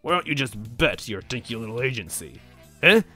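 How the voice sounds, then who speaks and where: A man voicing a huge, manipulative monster king in a story, with booming, slangy showmanship and a grumbling streak. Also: very animated, normal speed, pushed and bright, faint music behind. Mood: sly, goading. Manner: casual slangy speech with swaggering showmanship.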